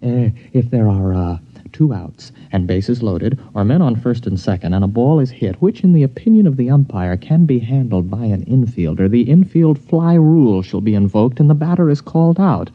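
A man's voice: medium pitch, expressive, normal speed, lightly acted. An actor playing an anxious, stammering city man with an American accent, in theatrical old-time radio drama style. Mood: frantic. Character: anxious city man, flustered suitor cornered by a mind-reader. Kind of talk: 1940s-50s American radio drama acting. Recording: dull old radio recording.

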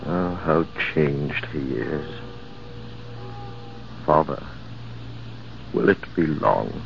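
A hushed, mournful storyteller. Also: male voice, very deep voice, lively intonation, extremely slow, gravelly, a little hiss or hum, exaggerated voice. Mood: somber. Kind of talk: 1940s radio acting. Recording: dull old radio recording with music.

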